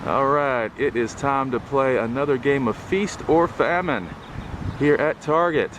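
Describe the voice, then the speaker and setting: A man hosting a toy-hunting vlog, commenting casually and haltingly off the cuff as he browses inside a large store. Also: mid-range voice, heavy background noise.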